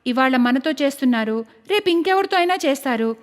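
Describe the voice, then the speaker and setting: A woman's voice: high-pitched, expressive, brisk. A woman voicing an anxious, principled young village daughter-in-law in a cartoon story, pleading and earnest. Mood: earnest, indignant.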